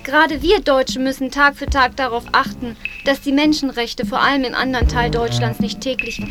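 A woman's voice: high voice, fairly even intonation, fairly quick. A woman reading a scripted political text as part of a radio collage. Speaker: presenter reading a politician's speech. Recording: loud music under the voice. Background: loud music.

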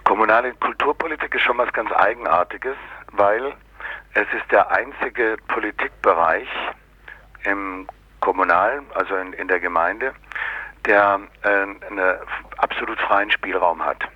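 A man's voice: lowish voice, a little slow, breathy edge, no echo, muffled recording. A man explaining his views casually in an interview, sardonic and colloquial, with many little tag questions.

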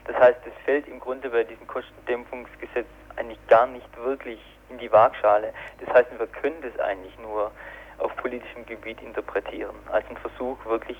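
A man explaining things in an unscripted interview, with halting, hesitant phrasing. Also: medium pitch, little pitch movement, slight background noise. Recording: tinny narrow telephone line.

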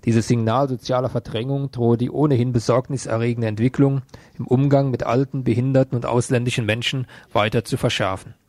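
A man reads the news as a radio presenter. His delivery is sober and even, with little melody, in a close, dry recording. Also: mid-range voice, a little fast, dry recording. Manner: sober, matter-of-fact. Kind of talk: scripted news reading.

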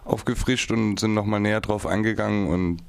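A man's voice: lowish voice, steady tone, brisk, gravelly, slight background noise. A nervous man, a guest on a radio interview, talking hesitantly with restarts. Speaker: guest, project participant.

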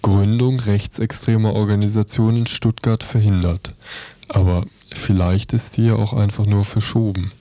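A man's voice: fairly low pitch, flat delivery, normal speed, warm tone, no echo. A man co-hosting a radio press review, reading newspaper reports and commenting on them. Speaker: radio co-host.

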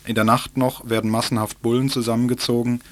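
A man narrating a radio documentary on past events in a steady, even reading. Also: medium pitch, fast, dry recording.

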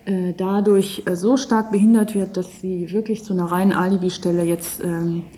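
A woman's voice: on the low side, fairly even intonation, moderate pace, dark tone, slight background noise. A woman giving a formal, emphatic public statement as an official, with some hesitations. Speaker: women's affairs officer.

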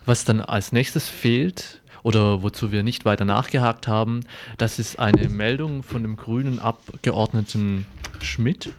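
Radio guy doing local news, kinda low voice, stop-start and off the cuff. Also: fairly even intonation, unhurried, a little hiss or hum. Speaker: radio presenter.

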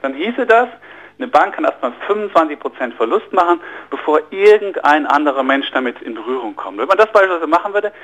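A man with a fairly high-pitched voice speaks as a radio interview guest, walking through money matters earnestly. He thinks aloud, stumbling over and repeating words before landing on his point. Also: sing-song, brisk, fairly bright tone, rough recording. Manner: earnest, explanatory, often restarts and repeats words mid-sentence. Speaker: interview guest, finance journalist and author. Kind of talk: radio interview, explaining economics.

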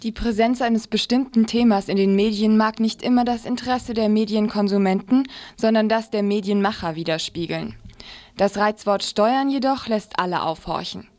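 A woman's voice: medium pitch, darkish tone. A woman reading a scripted news commentary as a radio presenter.

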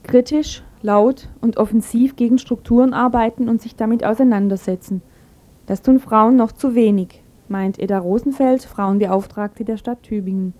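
A woman's voice: fairly high pitch, moderate pace, mellow. A woman presenter reading a scripted radio commentary.

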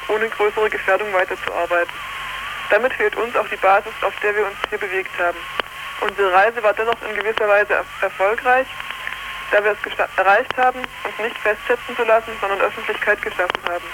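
A woman's voice: medium pitch, steady tone, brisk, background noise. A woman reading out a prepared statement as an activist over a poor long-distance telephone line, stumbling over words here and there. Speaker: activist delegation member.

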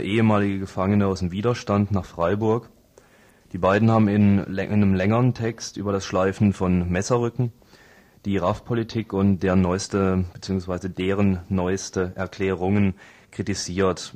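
Low-voiced male radio co-host. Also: darkish tone. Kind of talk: live radio presenting, semi-scripted.

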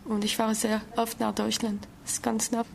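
A woman's voice: on the high side, completely flat delivery, moderate pace, vocal fry, a little hiss or hum. An eighteen-year-old woman from Alsace in France, a language student introducing herself plainly.

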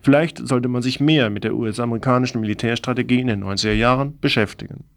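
A man with a gravelly, creaky voice at a middling pitch delivers a scripted news commentary. His phrasing is pointed and opinionated, like a radio commentator setting out a political argument. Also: fast.

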